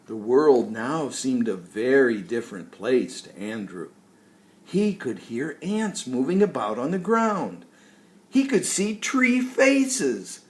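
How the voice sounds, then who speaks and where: An older man narrating a children's story expressively, as a storyteller. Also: mid-range voice.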